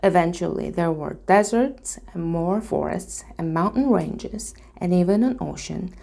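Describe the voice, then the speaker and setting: Slow, low-voiced woman reading a storybook. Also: gravelly, mellow. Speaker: reader. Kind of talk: storybook read-aloud, scripted narration.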